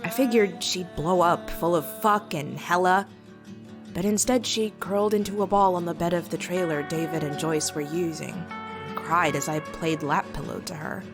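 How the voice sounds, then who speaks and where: A woman's voice: lowish voice, moderate pace, close to an everyday voice. A woman playing a sardonic, fiercely loyal teenage girl reading her journal in an emotive comic dub. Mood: tearful resolve. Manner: wry, sarcastic, emotive. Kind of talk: dramatic first-person journal narration in comic dub. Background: soft guitar music.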